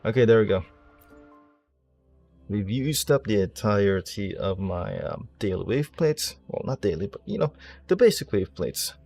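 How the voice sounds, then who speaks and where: A man's voice: fairly low pitch, sing-song, normal speed, gravelly, a little mellow, faint music behind. A man playing a video game and commentating casually, rambling and thinking aloud in a self-deprecating way. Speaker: streamer, game player.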